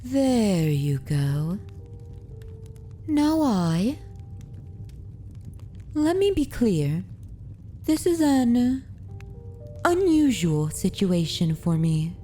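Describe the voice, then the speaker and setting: A woman's voice: medium pitch, big pitch swings, warm tone, faint music behind. A woman voicing a centuries-old noble vampire mistress in an ASMR roleplay, speaking formally with courtly, commanding and wistful poise. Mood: gracious. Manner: formal, unhurried, aristocratic phrasing. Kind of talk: ASMR roleplay audio drama.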